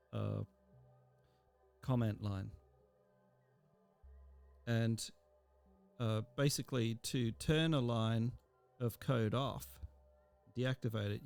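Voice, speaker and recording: A man giving an informal software tutorial as an instructor, explaining step by step in a halting way with pauses mid-sentence. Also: medium pitch, creaky.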